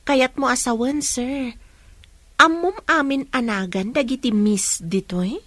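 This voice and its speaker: An actress plays a spirited young woman in a radio drama. Her voice is high, and she comes across as pert, teasing and playful, a devoted daughter and sweetheart. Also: measured pace, fairly bright tone, dry recording.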